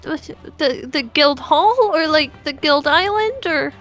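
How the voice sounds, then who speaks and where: A woman's voice: high-pitched, animated, a little slow, fairly bright tone. A woman playing an earnest, loyal shapeshifting guild member in improvised game roleplay, often questioning. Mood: determined. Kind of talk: improvised Minecraft roleplay acting. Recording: dry voice with background music.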